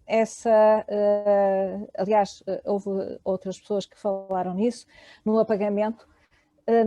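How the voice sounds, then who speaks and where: A woman with a European Portuguese accent giving an academic talk on a video call, pausing often with fillers. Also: mid-range voice, warm tone, no echo. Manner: hesitant, many fillers. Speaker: panel speaker.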